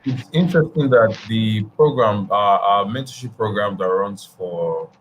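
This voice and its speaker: Low-voiced man hosting a video call. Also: a little hiss or hum, poor audio. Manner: measured, hesitant, restarts his phrases. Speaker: host. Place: indoor room at home.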